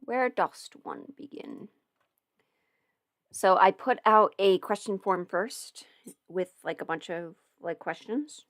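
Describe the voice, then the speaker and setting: A woman hosts an informal livestream in a medium-pitched voice. She talks hesitantly, often breaking off and restarting her thought as if working it out aloud. The sound is dry and close, with very little room around her. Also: slow, fairly bright tone, no room sound. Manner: hesitant, self-interrupting, thinking aloud. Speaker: livestream host, audio roleplay creator. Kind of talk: casual rambling livestream Q&A.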